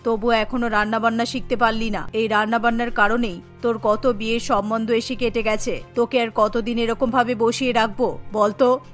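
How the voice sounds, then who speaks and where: A woman's voice: on the high side, little pitch movement, moderate pace, faint music behind, lightly acted. A woman voicing an exasperated middle-aged village mother, scolding and insistent, in a cartoon. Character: exasperated, nagging village mother of grown daughter. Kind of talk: Bengali cartoon voice acting.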